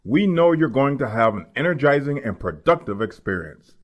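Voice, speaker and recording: A man voicing a friendly, even-toned narrator for a scripted instructional video. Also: medium pitch, moderate pace, pitched above the performer's own voice, natural voice.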